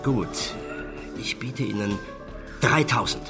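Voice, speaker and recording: An actor playing a brisk, hard-bargaining tabloid editor-in-chief in a radio drama, curt, businesslike and self-important. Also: male voice, fairly low pitch, music in the background.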